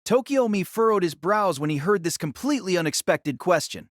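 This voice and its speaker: A man's voice: high voice, expressive, fairly quick, no room sound, studio quality. A man giving solemn, theatrical scripted narration of a fantasy novel.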